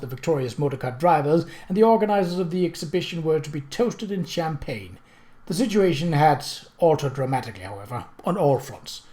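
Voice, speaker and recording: A man's voice: a little high, a little mellow. A man reading a period novel aloud as scripted narration. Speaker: audiobook reader.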